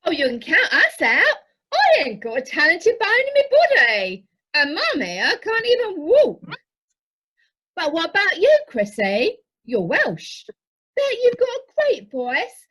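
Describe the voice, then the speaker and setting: A woman's voice: very high voice, huge pitch swings, measured pace, a bit bright, full character voice. A woman playing a blunt, cheeky, down-to-earth passenger in an amateur radio comedy. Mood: cheeky, forthright. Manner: colloquial, forthright.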